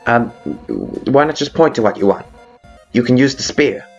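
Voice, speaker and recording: A man's voice: medium pitch, big pitch swings, a little slow, gravelly, full character voice. Man voicing a brash, muffled fish-warrior woman monster. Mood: strained hospitality. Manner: emphatic, blustering, sarcastic. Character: fierce, brash female fish-warrior monster, grudgingly hospitable. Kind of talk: video game fan dub acting. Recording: muffled lo-fi audio with background music.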